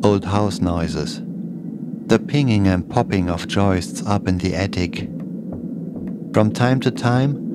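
A man's voice: low-pitched, very noisy. A man narrating a scripted first-person horror story as a podcast host.

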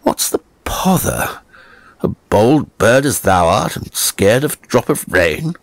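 A boisterous, tipsy young nobleman played by a male narrator, raised well above the reader's usual pitch. He is mocking and merry in a slurred, rakish way, as in a storybook. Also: sing-song, takes it slowly, dry recording. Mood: confused, mocking. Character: drunk young English nobleman, jolly, mocking, singing rakishly.

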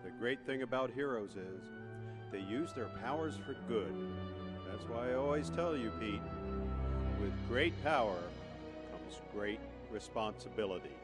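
A man playing a loving, wise uncle in an amateur radio play, giving gentle, earnest counsel. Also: medium pitch, expressive, unhurried, natural voice. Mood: earnest, proud. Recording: prominent music over the voice. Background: music.

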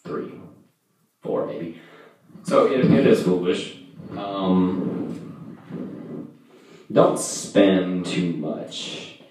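A young man doing a casual amateur stand-up routine in a halting, rambling, self-deprecating and profane way. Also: low-pitched, darkish tone. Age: young adult. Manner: profane, vulgar. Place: indoors, small home room. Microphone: distant camera, handheld microphone in view. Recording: echoey room, far from camera.

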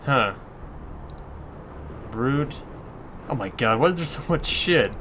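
A male let's player thinks out loud in a casual, sweary way. His voice is mid-pitched, and faint game noise sits behind it. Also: animated, slow. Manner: crude swearing, muses aloud. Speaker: let's play commentator. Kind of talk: casual gameplay commentary.

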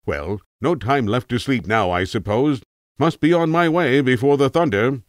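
A man voicing an elderly, highly cultured tramp, an eccentric and testy gentleman in a storybook tale. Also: normal speed, no echo, studio quality, close to an everyday voice. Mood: chatty, knowing. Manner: highly cultured, posh, politely indignant.

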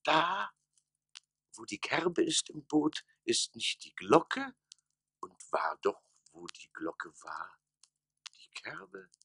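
An actor playing a pompous, forlorn mayor of a ruined town of fools in a radio play, with a hoarse, breathy rasp and theatrical, declamatory delivery. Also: male voice, low-pitched, bright, forceful tone, dry recording, exaggerated voice. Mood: bewildered, mournful. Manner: theatrical, declamatory, slow dramatic pauses. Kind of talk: German radio play monologue.

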